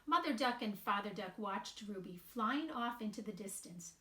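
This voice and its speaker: A woman reading a children's picture book aloud in a deliberate, expressive way with emphatic pauses. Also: mid-range voice. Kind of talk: read-aloud children's storytelling. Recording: roomy, echoing sound.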